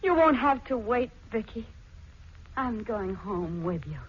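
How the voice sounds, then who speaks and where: A woman's voice: fairly high pitch, sing-song, a little slow, slight background noise. An actress playing an earnest, defiant and dignified married woman in a 1940s radio drama. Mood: quiet. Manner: earnest, emotional. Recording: dull 1940s radio recording.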